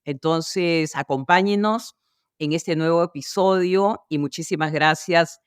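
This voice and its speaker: A woman's voice: sits low, a little slow, clear, resonant tone, no room sound, studio quality. A woman introducing a history podcast, explaining things in a somewhat hesitant way.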